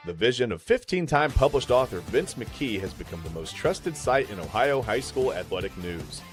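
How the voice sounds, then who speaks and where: A male announcer delivers scripted promo narration. His medium-pitched voice moves around in a very lively way over a light guitar music bed. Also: moderate pace. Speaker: advertisement announcer.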